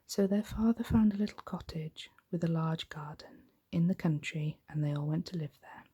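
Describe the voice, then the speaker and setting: Breathy whispering woman reading a bedtime story. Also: deep voice. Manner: slow, gentle, hushed. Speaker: ASMR storytelling host. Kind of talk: soft-spoken ASMR bedtime story reading.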